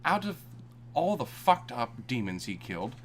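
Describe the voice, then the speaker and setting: A man's voice: mid-range voice, very animated, normal speed, a little hiss or hum. Man laughing through a dramatic reading. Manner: reads aloud, often breaking into laughter. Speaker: narrator. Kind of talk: comedic fan-fiction reading.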